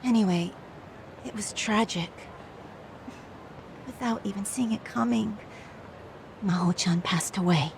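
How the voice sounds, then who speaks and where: An actress playing a gentle woman who recalls an old friend's past in a conversational, wistful way for a video game cutscene. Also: medium pitch, unhurried, creaky, pauses often, background noise. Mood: somber, sympathetic. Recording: studio voice with music behind.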